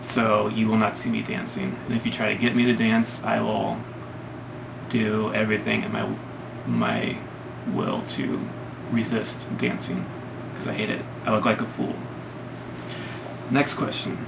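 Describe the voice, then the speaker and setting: A man's voice: on the low side, gravelly. A young man from Ohio answering viewer questions in a casual vlog, hesitant and rambling with many ums. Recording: lo-fi, noisy home recording.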